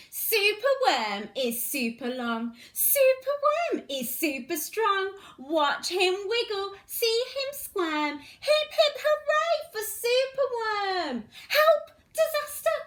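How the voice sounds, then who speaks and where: A woman storyteller with a high-pitched voice reads to young children. She goes slowly, with sing-song, exaggerated emphasis. The recording has a clear room echo. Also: very animated, a bit bright. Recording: roomy, echoing indoor sound.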